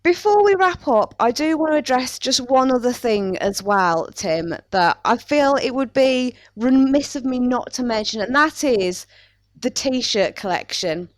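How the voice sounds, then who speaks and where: A woman's voice: a little high, expressive, a little slow, no echo. A woman hosting a casual podcast chat in a chatty, wry manner. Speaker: podcast host.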